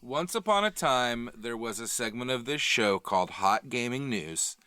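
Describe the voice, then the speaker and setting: Animated man with a bright, dry sound. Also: mid-range voice, moderate pace, clear, resonant tone, no room sound. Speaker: podcast co-host. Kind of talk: casual, bantering podcast chat.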